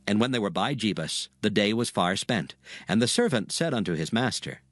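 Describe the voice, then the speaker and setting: Expressive male scripture narrator. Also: on the low side, moderate pace, dry recording, faint music behind.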